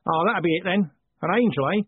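A man's voice: high-pitched, fairly quick, breathy edge, dry recording, phone-quality sound, pitched above the performer's own voice. A man voicing a blunt, teasing, helpful coach-business man in a storybook. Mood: wry, hearty.

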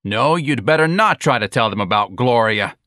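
A man's voice: lowish voice, animated, brisk, sharp tone, no room sound, clean studio sound. Man acting a blunt, exasperated, sarcastic husband. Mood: angry threat.

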